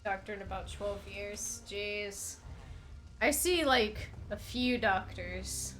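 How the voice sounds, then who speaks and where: A woman's voice: mid-range voice, takes it slowly, fairly bright tone, slight background noise. A young woman streaming a game, congested and stuffy-nosed, chatting casually and laughing and swearing freely. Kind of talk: casual gameplay chat.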